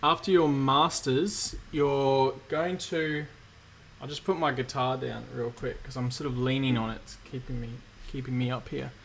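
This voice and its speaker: Aussie guy in his 30s, bit croaky, rambly live q&a host. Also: medium pitch, normal speed, slight background noise. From Australia. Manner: relaxed and rambling, many fillers. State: croaky, recovering from illness. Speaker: cybersecurity streamer host. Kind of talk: live-stream Q&A, reading chat questions.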